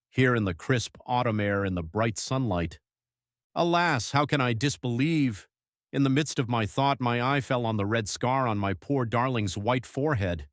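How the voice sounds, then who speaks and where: A man playing a troubled, devoted young husband reading his journal in measured literary diction for an audiobook. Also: medium pitch, dry recording, clean studio sound, lightly acted. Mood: relieved, reflective, then anguished. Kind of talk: dramatised audiobook narration.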